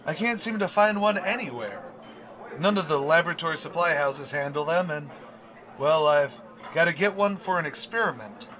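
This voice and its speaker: A man's voice: fairly high pitch, animated, moderate pace, sharp tone. A man playing a shy, oblivious biology teacher in an old-time radio comedy, speaking in a mild, hesitant, earnest way. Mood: puzzled, earnest.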